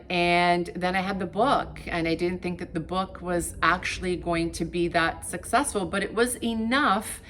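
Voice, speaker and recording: A woman's voice: on the low side, a bit bright, a little hiss or hum. Chatty encouraging woman in her fifties. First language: Portuguese. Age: 50s. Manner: chatty and encouraging, addresses viewers directly. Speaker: vlogger. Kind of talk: casual chat to camera.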